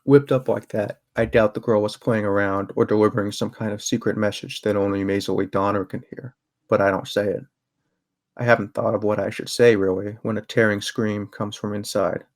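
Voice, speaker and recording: A young man acts a sardonic, guarded teenage boy narrating a bleak audio drama. The voice sits low and stays very even, with a subdued, first-person storytelling delivery. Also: normal speed, lightly acted. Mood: grim.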